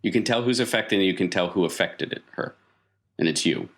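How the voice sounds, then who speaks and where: A man narrating a tabletop game as game master, casually improvising and often pausing to think aloud. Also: deep voice, creaky.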